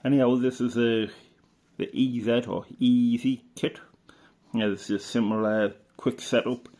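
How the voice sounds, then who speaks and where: A middle-aged man from the UK, a radio hobbyist chatting casually through an old catalogue, rambling and unhurried with lots of fillers and restarts. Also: medium pitch, fairly even intonation, mellow. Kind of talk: casual reminiscing commentary.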